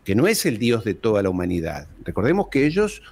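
Emphatic man with lively pitch. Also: a little fast, slightly rough. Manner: emphatic, asks rhetorical questions and repeats key phrases. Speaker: guest historian. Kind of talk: interview over video call. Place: small home room indoors. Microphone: large desk microphone close up.

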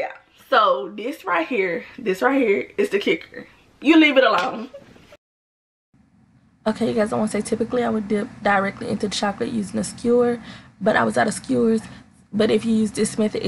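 A woman's voice: fairly high pitch, creaky, a little hiss or hum. A young woman chatting casually to her viewers in a cook-with-me video at home, playful and talking straight to them. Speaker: cooking vlogger. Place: home room, indoors.

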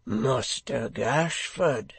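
A man voicing a coarse, sly, middle-aged hangman in a storybook, with a hoarse growl and rough, familiar, ungrammatical speech. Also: medium pitch, lively intonation, very slow, no room sound, heavily acted. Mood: surprised, welcoming. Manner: cockney-style dropped grammar, chuckling familiarity.

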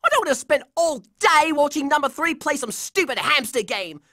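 Cartoon game role: impatient, complaining young boy, sharp high voice, dry close recording. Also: sing-song, moderate pace, dry recording, full character voice. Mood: exasperated. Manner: whiny, blunt, complaining. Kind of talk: cartoon video game voice acting.

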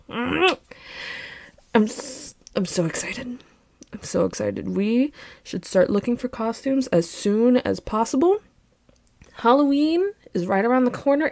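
Woman performing a loving young girlfriend, roleplay audio, teasing, excitable, slow-paced, close and dry recording. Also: high-pitched, dry recording, exaggerated voice. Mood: excited. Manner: bubbly, teasing, giggly, pleading.

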